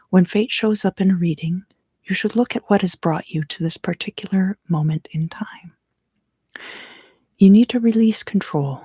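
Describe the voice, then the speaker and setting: A woman giving an ASMR tarot reading in a hushed, measured delivery, drifting in and out of a whisper. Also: lowish voice, gravelly, slightly rough, no echo. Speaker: tarot reader and ASMR host.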